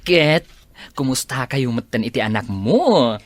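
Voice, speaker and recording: A woman playing a relaxed, inquisitive friend in a radio drama, talking in a casual, drawn-out way. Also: rumbling low, dry recording, full character voice. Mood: curious, friendly. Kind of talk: radio drama acting in dialogue.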